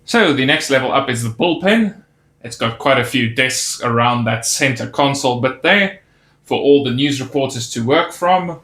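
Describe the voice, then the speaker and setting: A man's voice: mid-range voice, lively intonation, normal speed, a bit bright. A man narrating a casual toy set review, pointing out details with easy enthusiasm. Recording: roomy echo on the voice.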